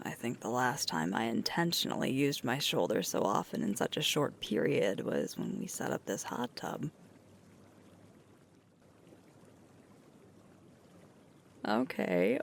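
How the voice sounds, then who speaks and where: A woman playing a sweet, tired, affectionate werewolf girlfriend in an intimate ASMR roleplay, speaking softly with a breathy, creaky voice. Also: low voice, a little hiss or hum, full character voice. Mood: weary, aching. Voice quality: breathy, creaky, often whispering.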